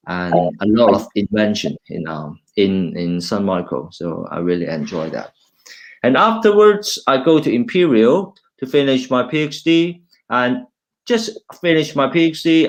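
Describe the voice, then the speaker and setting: Hong Kong academic, middle-aged man, low voice, halting, restarts often, mixes English and Cantonese. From China, raised in Hong Kong. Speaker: university lecturer, guest speaker. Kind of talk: informal talk presenting slides.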